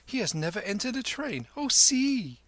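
A man voicing an excitable young courtesan with a shrill, squealing manner. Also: takes it slowly, dry recording. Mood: excited.

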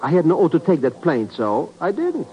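An actor playing a shifty small-time hustler in a 1950s radio drama, full of slangy, stammering bluster. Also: male voice, on the high side, wildly swooping pitch, normal speed. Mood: flustered, bragging. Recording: muffled old 1950s radio recording.

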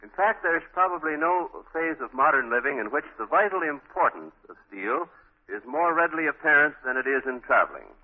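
A man's voice: a little high, very animated, brisk. A man with an American accent delivering a formal, polished sponsor message as a 1940s radio announcer. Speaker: commercial spokesman, announcer. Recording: thin, muffled old radio recording.

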